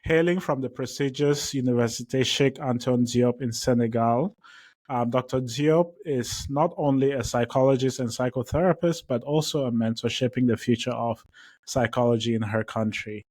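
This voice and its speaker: A man hosting a podcast, reading a guest introduction and asking questions, upbeat but halting with many fillers. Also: medium pitch, a little mellow. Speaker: podcast host. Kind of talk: scripted introduction and interview questions.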